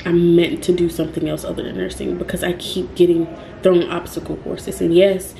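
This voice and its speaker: A young woman talks to the camera in a rambling vlog, her voice low and mellow. She speaks in a flat, even tone and often stops to restart her sentences. The room sounds echoey, with faint ambient noise behind her. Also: a little fast, creaky. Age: young adult. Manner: rambling, frequent ums and self-corrections. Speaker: vlogger. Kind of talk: casual talk to camera.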